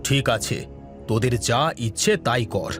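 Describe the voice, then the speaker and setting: A man's voice: mid-range voice, animated, normal speed, a bit bright, soft background music, lightly acted. A man voicing a proud, stubborn elder brother and village husband in cartoon dialogue. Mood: offended, stern. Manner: stern, obstinate.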